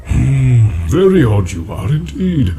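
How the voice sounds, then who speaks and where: A man voicing an ancient, ponderous male tree giant in an audiobook, cautious and deliberate, humming and musing between phrases. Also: mid-range voice, very animated, mellow, slight background noise. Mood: puzzled. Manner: slow, deliberate, drawn-out hums and musing repetitions. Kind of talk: audiobook character acting.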